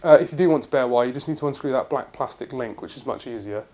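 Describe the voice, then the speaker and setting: A man's voice: mid-range voice, quick pace, warm tone. A British man presenting a product review in an explanatory, matter-of-fact way, partly scripted.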